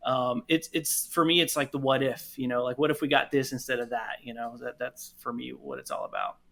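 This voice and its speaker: Interview guest: man, bright medium-pitched voice, enthusiastic, casual conversational delivery. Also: sing-song, brisk, creaky. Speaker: podcast guest, audio drama producer.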